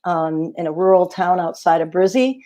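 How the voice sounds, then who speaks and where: A middle-aged woman with a fairly low voice chats easily as an interview guest. She talks over a video call, relaxed and full of fillers. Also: moderate pace. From not Australia. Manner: easygoing, chatty, many fillers. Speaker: guest singer-songwriter. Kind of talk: casual interview chat.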